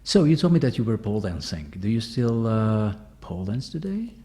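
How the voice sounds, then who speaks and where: A man's voice: fairly low pitch, sing-song, fairly quick, dark tone. A man hosting a casual interview, asking loose questions full of fillers and restarts. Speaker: host.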